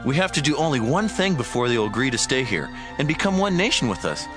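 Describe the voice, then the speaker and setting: An actor playing an eager, scheming young prince in a dramatized audio Bible. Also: male voice, mid-range voice, sing-song, fast talker, music in the background, close to an everyday voice. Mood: persuasive.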